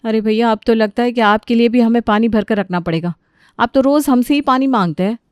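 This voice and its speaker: Casting: female narrator as plain-spoken cartoon mother-in-law, wry and obliging, highish, dry sound. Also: a little fast, darkish tone, smooth, dry recording, close to an everyday voice. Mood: weary, grumbling. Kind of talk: Hindi cartoon story character voice.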